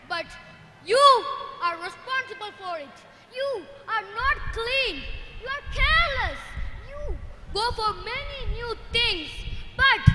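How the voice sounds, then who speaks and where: A man's voice: extremely high pitch, voice pushed up, exaggerated voice. A boy on stage playing the spirit of pollution, a cackling, taunting villain. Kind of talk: stage mono act. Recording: reverberant hall.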